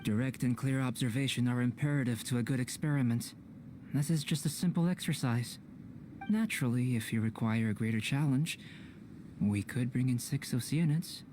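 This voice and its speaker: A man playing a calm young alchemist researcher in a video game, speaking in a measured, formal, scholarly way. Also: medium pitch, a little hiss or hum, close to an everyday voice. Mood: detached, methodical. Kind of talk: scripted video game voice acting.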